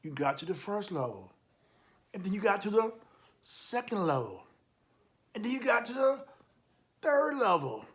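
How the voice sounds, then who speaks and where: Male children's storytime host, voice a touch high, animated read-aloud delivery with encouraging asides straight to the listener. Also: a little slow, pauses often. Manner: animated, encouraging, repeats key phrases, chats to the listener. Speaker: host of a children's storytime, youth club coach.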